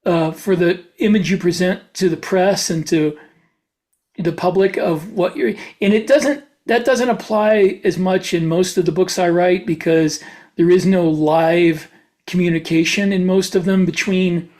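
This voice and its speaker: Man explaining haltingly on a podcast. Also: high-pitched, a little mellow. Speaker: podcast host and science fiction author. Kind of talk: casual podcast discussion.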